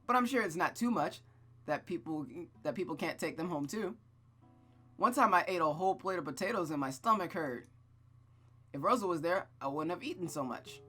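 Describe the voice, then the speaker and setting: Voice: medium pitch, animated, moderate pace, sharp tone, breathy edge, faint music behind. A reader voicing a headstrong, chatty young girl from a visual novel, earnest, eager and insistent. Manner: eager, emphatic, pleading. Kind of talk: visual novel read-aloud character voice.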